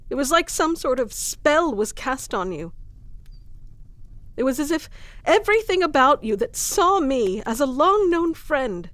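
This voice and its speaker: A woman plays a proud fantasy queen in love and hurt by it. Her voice is high and swings widely with feeling. She speaks slowly and earnestly, in dramatic roleplay style, recorded close and dry. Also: no room sound, exaggerated voice. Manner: earnest, deliberate, emotionally charged delivery. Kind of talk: dramatic audio roleplay acting.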